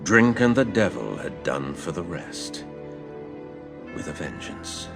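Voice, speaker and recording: A man playing a seafarer who tells his past adventure in a measured, literary, expressive way. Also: music in the background, full character voice. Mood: reflective storytelling. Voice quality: low, gravelly. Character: older seafaring man telling his past adventure to a boy, wry and fond. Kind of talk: dramatised storytelling narration.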